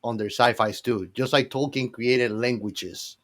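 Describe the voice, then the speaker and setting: A man's voice: medium pitch, measured pace, fairly bright tone. Guy podcast host, fan chat, really emphatic and playfully argues his point. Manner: playfully argumentative, enthusiastic, emphatic.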